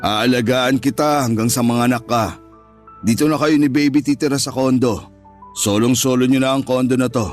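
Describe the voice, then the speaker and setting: A man's voice: medium pitch, fairly even intonation, normal speed, faint music behind, close to an everyday voice. A man with a Filipino accent playing a wealthy, jealous, controlling businessman lover in a Tagalog radio drama. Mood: reassuring. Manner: controlling, alternately reassuring and threatening.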